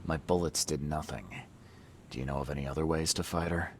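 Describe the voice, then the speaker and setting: An actor playing a weary, skeptical investigator in a video game, with a dry, blunt, probing manner. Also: male voice, a bass voice, steady tone, brisk, a little hiss or hum. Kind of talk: video game dialogue acting.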